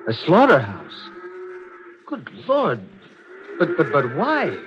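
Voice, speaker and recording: Intense young suitor, widely swinging pitch. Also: male voice, wildly swooping pitch, takes it slowly, crowd noise, exaggerated voice. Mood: alarmed. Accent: American. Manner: intense, dramatic, emphatic. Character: earnest, impulsive young suitor narrating his mystery. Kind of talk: 1940s radio mystery acting and narration. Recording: muffled 1940s radio recording with music.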